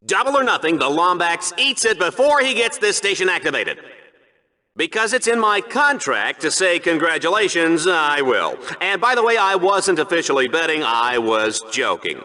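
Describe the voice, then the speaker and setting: A man's voice: fairly high pitch, big pitch swings, moderate pace, pushed and bright, low-quality recording. A man voicing a smug, cowardly show-off arena commentator in a video game, theatrical and sarcastic in an over-the-top game show style. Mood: showy, smug. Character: smug, hammy male sci-fi arena sportscaster, cowardly show-off. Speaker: sports commentator.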